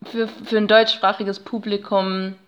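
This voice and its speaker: A woman's voice: medium pitch, level tone, a little slow, smooth, dull audio. German woman explaining her research casually. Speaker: guest researcher in narratology.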